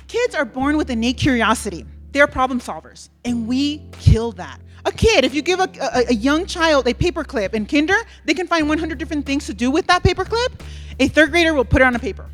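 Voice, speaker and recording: High-voiced Salvadoran-born woman giving keynote. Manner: earnest, reflective storytelling. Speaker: keynote speaker. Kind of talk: personal keynote talk. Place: conference stage with audience.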